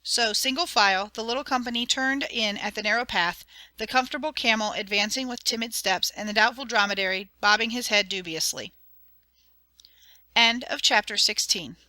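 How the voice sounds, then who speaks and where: A woman reading a children's audiobook as narrator, in an expressive, dramatic and clearly enunciated storytelling style. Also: on the high side, normal speed, dry recording.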